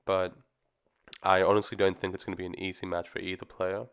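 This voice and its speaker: Low, flat-voiced man getting over flu. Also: fairly quick, clean tone. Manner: easygoing, rambling, frequent ums and restarts. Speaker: tennis commentary vlogger. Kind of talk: casual solo opinion talk.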